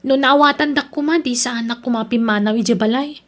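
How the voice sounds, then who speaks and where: A woman's voice: on the high side, brisk, close to an everyday voice. A woman acting as a young student and girlfriend in an audio drama. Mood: sad. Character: Christine, young female student, tenant and girlfriend.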